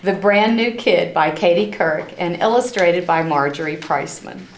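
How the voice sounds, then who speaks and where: A woman reading a picture book aloud to children in an expressive, animated storytelling style, stopping to address her listeners. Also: fairly low pitch, a little hiss or hum. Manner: animated, acts out characters' lines, pauses to ask listeners questions. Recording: roomy, echoing room sound.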